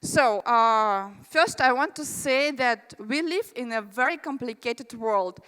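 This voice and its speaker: A woman's voice: a little high, slow pace, fairly bright tone, smooth. A woman from Russia with a Russian accent, giving a chatty conference presentation with friendly asides to the audience. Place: large conference hall. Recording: reverberant hall.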